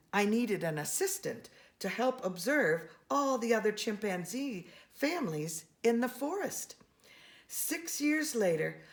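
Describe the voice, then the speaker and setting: A woman reading a children's picture book aloud, expressively and with deliberate emphasis on key words. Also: medium pitch. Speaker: storybook reader.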